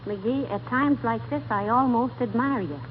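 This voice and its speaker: An actress playing a feisty, teasing, bossy wife in a 1940s radio comedy. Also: female voice, fairly high pitch, normal speed, narrow, tinny audio, natural voice. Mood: teasing, eager. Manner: teasing, colloquial banter. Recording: noisy vintage radio recording, thin band.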